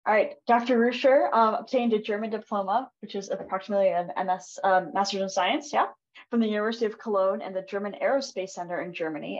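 A woman reads out a speaker's bio as moderator of an online panel, pausing on ums. She talks briskly, and her voice comes through as dull, muffled video call audio. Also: mid-range voice. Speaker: event moderator. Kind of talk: reading a speaker introduction.

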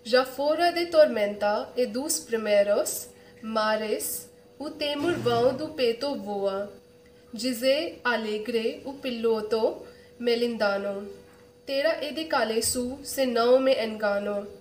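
Young woman, unhurried verse reading, echoey room with slight noise. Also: fairly high pitch. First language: not Portuguese. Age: young adult. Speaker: poetry reader. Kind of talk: epic verse read aloud. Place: small room indoors.

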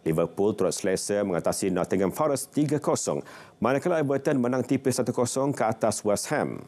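A man's voice: mid-range voice, lively intonation, a little fast, darkish tone. A man with a Malaysian accent reading scripted sports news as a presenter. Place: television studio. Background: music.

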